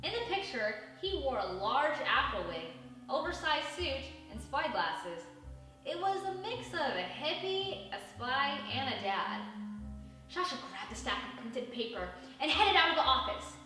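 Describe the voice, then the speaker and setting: Female children's storybook reader, high and bright, animated delivery, echoey room with faint music behind. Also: unhurried, distant mic. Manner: animated, dramatic. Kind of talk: expressive scripted children's story narration.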